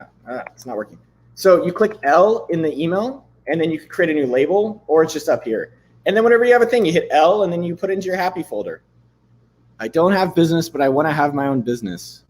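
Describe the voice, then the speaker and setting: Man, thirties, livestream host, slightly higher voice, hoarse, loose rambling talk. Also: animated, fast, darkish tone. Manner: loose and rambling, swears casually. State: losing his voice. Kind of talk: casual livestream chat with screen demos.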